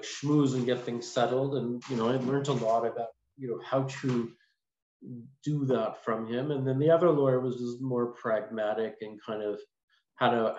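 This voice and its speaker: A man in his forties speaking casually and haltingly as an interview guest over a video call. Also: medium pitch. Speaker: podcast guest, lawyer. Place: small indoor room, video call. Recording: echoey, muffled video call with noise.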